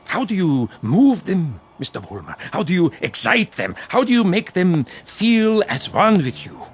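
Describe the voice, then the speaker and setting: An actor playing a sinister dead dictator who mentors from the shadows in a radio drama, with a theatrical, insinuating, oratorical manner. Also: male voice, high-pitched, wildly swooping pitch, normal speed, husky, exaggerated voice. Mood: fervent, oratorical.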